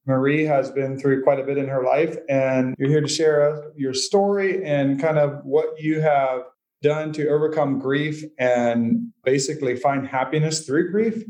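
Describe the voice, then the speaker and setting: A man's voice: medium pitch, steady tone, unhurried, darkish tone. A man hosting an interview show, asking friendly questions that ramble and restart. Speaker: podcast host. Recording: slightly dull, lo-fi.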